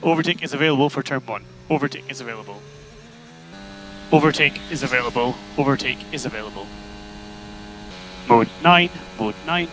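A man's voice: medium pitch, a little fast, pauses often, fairly bright tone. A man working as a race engineer, giving terse, repeated instructions over motor racing team radio. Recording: noisy team radio with electrical hum.

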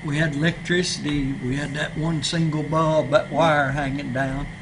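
A man's voice: a little high, level tone, normal speed. A man in his sixties with an East Tennessee accent, telling childhood stories in an easygoing, chuckling way in a small library room. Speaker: storyteller. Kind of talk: casual reminiscing. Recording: noisy room recording.

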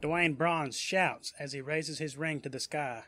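A man's voice: fairly high pitch, measured pace, heavy background noise. A man reading scripted narration for a superhero audiobook story. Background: music.

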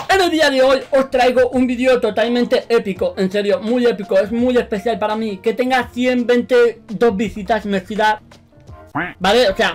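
Young Spanish YouTuber from Spain, high voice, animated and slangy, repeats himself, faint music underneath. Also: male voice. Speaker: gaming YouTuber. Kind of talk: excited gameplay commentary. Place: bedroom studio indoors.

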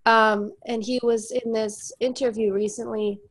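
A woman's voice: fairly high pitch, little pitch movement, unhurried. A woman musician with a cold, sounding congested, chatting casually on an online call with many fillers. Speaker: musician guest. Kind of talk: casual discussion.

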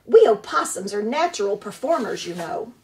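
A woman's voice: medium pitch, very animated, normal speed, a little hiss or hum. Woman voicing a gushing theatrical opossum. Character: theatrical female opossum, dramatic show-off.

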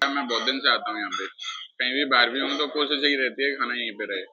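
A man's voice: medium pitch, quick pace, pushed and bright. A man in his thirties, a regular customer, giving brief, agreeable answers in a restaurant into a handheld mic. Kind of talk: casual interview answers. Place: indoor restaurant. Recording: dull, lo-fi sound.

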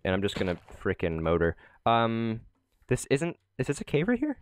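A man's voice: medium pitch, huge pitch swings, normal speed, clear, resonant tone, no echo. A young man hosting a gaming channel, chatting casually and rambling while he plays with friends, often laughing. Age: young adult. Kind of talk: casual gameplay commentary.

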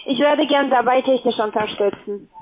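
Female non-native call-center agent, highish voice, insistent sales questioning, narrow telephone sound. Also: moderate pace, a crowd in the background. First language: not German. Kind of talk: phone sales pitch. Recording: noisy narrow telephone line.

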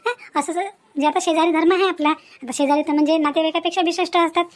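A woman acts out an outspoken village mother from a cartoon family drama. She talks high and fast in rural Vidarbha Marathi, protective and indignant, hammering her advice home with chatty, emphatic repetition. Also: steady tone. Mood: earnest, exasperated. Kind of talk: animated family story dialogue.

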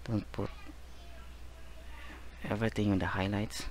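Slow, low-voiced male painting teacher. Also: slight background noise. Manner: slow, relaxed, repeats "guys" and "there", gentle reminders. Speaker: painting instructor. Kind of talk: real-time painting tutorial narration.

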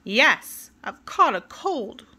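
A woman voicing a grouchy grown-up male storybook character who moans and complains loudly. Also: a little high, big pitch swings, takes it slowly, voice pushed up, heavily acted. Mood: grumbling. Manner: grumbling, loud and cross.

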